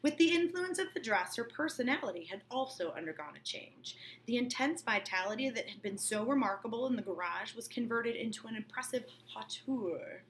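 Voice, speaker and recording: A woman's voice: a little high, lively intonation, brisk, hardly any pauses, a little hiss or hum, poor audio. A woman teacher reading a novel aloud and breaking off for informal, colloquial explanations, often checking that listeners follow. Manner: colloquial, checks understanding with okay and right.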